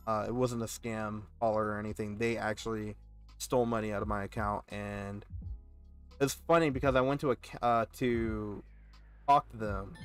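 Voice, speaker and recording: A man in his twenties from Texas, speaking in loose, rambling casual chat. He sounds animated and aggrieved as he tells a story, with a voice of ordinary pitch. Soft music plays faintly behind him. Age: 20s. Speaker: gaming channel host.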